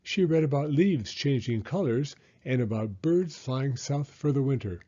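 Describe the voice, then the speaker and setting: A man reading a children's picture book aloud as an expressive narrator. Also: mid-range voice, unhurried, dull audio. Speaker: storybook reader.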